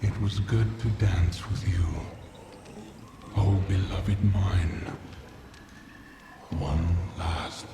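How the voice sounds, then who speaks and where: A man voicing a dying male dragon spirit in a video game, tender, serene and weary, with a gravelly, breathy tone. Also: sits low, fairly even intonation, extremely slow, pauses often, full character voice. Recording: echoing voice over clear ambient noise.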